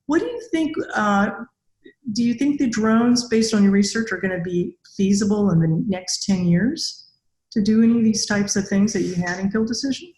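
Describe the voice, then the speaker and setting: A woman's voice: medium pitch, normal speed, darkish tone. An older woman hosting a conversational podcast interview as a robotics researcher. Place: indoor room with bare walls. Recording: echoey room on video call.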